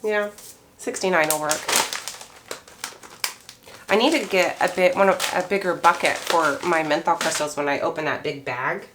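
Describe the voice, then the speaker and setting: Hoarse woman chatting while she works. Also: fairly low pitch, moderate pace, long pauses, noisy background. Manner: chatty, relaxed, thinks aloud while working. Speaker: craft maker. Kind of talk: casual how-to chat.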